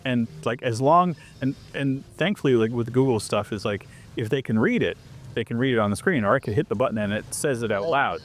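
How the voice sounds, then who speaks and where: Man, mid-pitched, animated guest telling stories in a casual interview. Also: brisk, a little hiss or hum. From Canada. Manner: animated storyteller who acts out quoted exchanges. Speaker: interview guest, foreigner living abroad.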